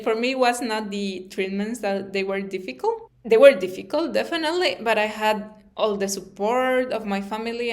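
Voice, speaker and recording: Costa Rican woman speaking accented English. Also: medium pitch. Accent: Costa Rican Spanish. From Costa Rica. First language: Spanish. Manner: earnest, non-native English phrasing. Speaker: YouTube channel host and interviewer. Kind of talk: informal personal talk to camera.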